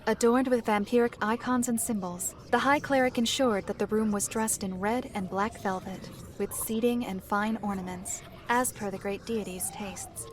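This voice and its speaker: A woman reading scripted story narration for a dark fantasy tale. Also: medium pitch, normal speed, few pauses. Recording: clear background ambience noise.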